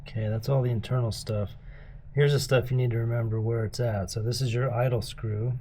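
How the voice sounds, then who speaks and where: A man with a raspy voice, patiently showing his son how to take apart and clean a carburetor, one step at a time. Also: mid-range voice, fairly even intonation, a little fast, warm tone, a little hiss or hum. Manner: patient, plainspoken, explains step by step. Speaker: dad teaching his son carb cleaning. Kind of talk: casual hands-on demonstration.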